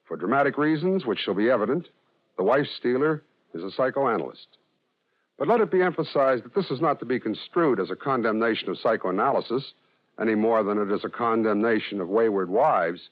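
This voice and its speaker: Man, formal radio announcer, lowish voice, dull old broadcast sound. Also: lively intonation. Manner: formal, measured, explanatory. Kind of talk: scripted radio introduction.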